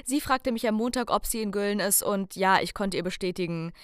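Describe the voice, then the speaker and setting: A woman's voice: medium pitch, brisk, dry recording, clean studio sound. A woman co-hosting a casual podcast, talking playfully and theatrically and addressing listeners directly. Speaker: podcast co-host.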